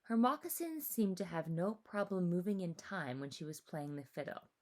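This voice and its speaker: A woman reading a children's story aloud with animated, deliberate, expressive narration. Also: lowish voice.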